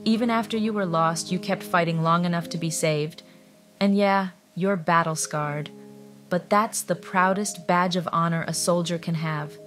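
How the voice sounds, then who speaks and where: A woman's voice: on the low side, steady tone, moderate pace, a bit bright, faint music behind, close to an everyday voice. A woman voicing a proud, blunt and loyal fighter plane girl, speaking firmly and rousingly. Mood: firm encouragement.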